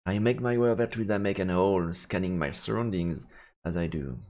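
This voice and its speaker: Low, gravelly man reading aloud. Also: a little fast, darkish tone. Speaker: let's play streamer. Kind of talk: reading visual novel narration aloud. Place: indoors, streaming setup. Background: faint game music.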